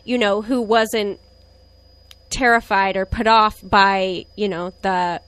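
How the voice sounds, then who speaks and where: A woman's voice: medium pitch, steady tone, takes it slowly, smooth, dry recording, poor audio. A woman in her twenties talking candidly and reflectively about her relationship in a casual conversation. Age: 20s. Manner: reflective, candid, soft laughs. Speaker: guest.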